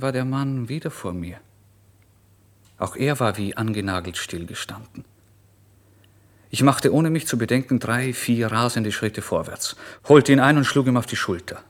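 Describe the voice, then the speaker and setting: An actor playing a poor, nervous, irritable young writer in a literary radio monologue, brooding and expressive, moving between hushed and forceful delivery. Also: male voice, on the low side, lightly acted. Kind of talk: literary first-person radio drama monologue.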